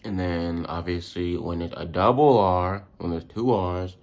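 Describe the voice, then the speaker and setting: Low-voiced man giving casual pronunciation tips. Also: fairly even intonation, unhurried, a little mellow. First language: English. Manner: slangy, informal, demonstrating sounds by repeating words. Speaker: host of a language tips video. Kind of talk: casual vlog-style pronunciation lesson.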